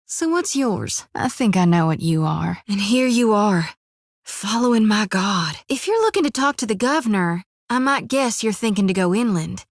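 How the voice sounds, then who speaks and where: Folksy young priestess. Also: female voice, medium pitch, sing-song, normal speed, no room sound, clean studio sound. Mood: curious. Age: 20s. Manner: folksy homespun drawl with rural sayings. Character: young woman soul-harvesting priestess, folksy and stubborn. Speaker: game companion. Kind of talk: game voice acting.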